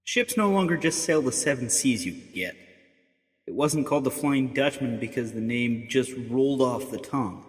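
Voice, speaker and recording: A man's voice: mid-range voice, lively intonation, normal speed, breathy edge, no echo. A man playing a sardonic, unflappable English secret agent, teasing and theatrical, in an audiobook drama. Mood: sardonic, defiant. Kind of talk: full-cast audiobook acting.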